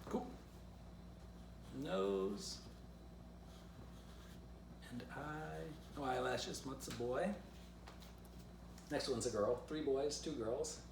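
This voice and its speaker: A man's voice: mid-range voice, very slow, gravelly, long pauses. Male children's author, storybook read-aloud and easygoing drawing tutorial; distant mic in an echoey room. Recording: roomy, distant mic, faint background noise.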